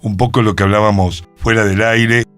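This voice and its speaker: A man's voice: on the low side, steady tone, normal speed, fairly bright tone, faint music behind. A man hosting a casual discussion in a radio studio.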